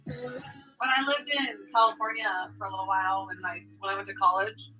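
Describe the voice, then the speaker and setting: Bright, excitable woman with an Idaho accent. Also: medium pitch, normal speed, soft background music, rough recording. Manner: chatty, excitable, swears casually. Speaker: co-host of a couple's channel. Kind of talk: casual chat on a live stream.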